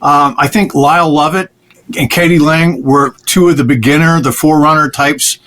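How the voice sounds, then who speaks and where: A man hosting a casual interview, asking questions in a halting, conversational way. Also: fairly high pitch, steady tone, fairly bright tone. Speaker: interviewer, show host.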